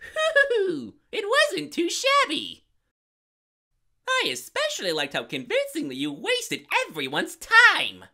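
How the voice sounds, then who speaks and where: A man's voice: very high-pitched, huge pitch swings, a little slow, gravelly, sharp tone, heavily acted. A man voicing a gleefully sadistic, theatrical mascot bear with a mocking showman's manner in an anime fan dub. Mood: mocking cheer.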